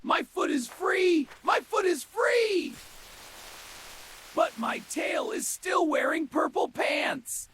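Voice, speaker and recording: Extremely high-pitched, excitable cartoon dinosaur. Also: male voice, takes it slowly, full character voice. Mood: joyful. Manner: exclamatory, dramatic. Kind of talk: children's animated adventure dialogue. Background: very loud music.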